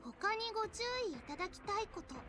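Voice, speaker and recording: An actress playing a poised, childlike goddess in an anime-style game, with polite, haughty, refined speech. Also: female voice, very high-pitched, lively intonation, rapid-fire. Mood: composed, formal. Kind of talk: Japanese anime-style visual novel acting. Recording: loud game music under the voice.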